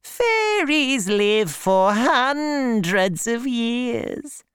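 A woman voicing a sly old female fairy in a storybook, with a croaky, drowsy, drawn-out delivery. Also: fairly high pitch, sing-song, dry recording, studio quality, pitched above the performer's own voice, full character voice. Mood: scornful, alarmed. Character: sleepy, sly old female fairy creature, bitter drinker.

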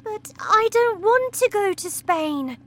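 A woman voicing a clever little schoolgirl who speaks high and urgently, pleading. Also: animated, unhurried, a bit bright, no room sound.

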